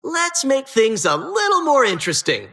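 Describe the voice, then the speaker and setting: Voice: high-pitched, huge pitch swings, moderate pace, pushed and bright, heavily acted. A performer voicing a sardonic, menacing dungeon host in a video game, with dramatic mock-cheerful patter. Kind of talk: video game character voice lines.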